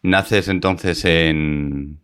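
A man's voice: rumbling low, very slow, a bit bright, smooth, no echo, clean studio sound. A man from hosts a conversational interview in an encouraging way. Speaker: interview host.

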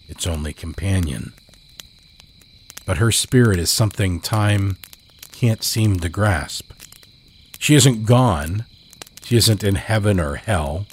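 Man playing a deep-voiced, grieving, haunted man. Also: a little hiss or hum. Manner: somber, measured. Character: grieving, haunted man recalling his dead loved one. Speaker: storyteller. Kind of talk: first-person horror story narration. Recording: cricket ambience behind voice. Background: crickets.

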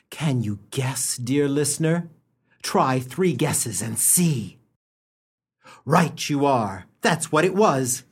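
An actor as an arch, teasing narrator of a mock melodrama on radio, husky and dramatic as he addresses the listener. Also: medium pitch.

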